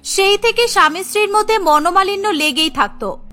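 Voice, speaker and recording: A woman tells a cartoon story as scripted narration. Her voice is very high and bright, and music plays clearly behind her. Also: fairly quick.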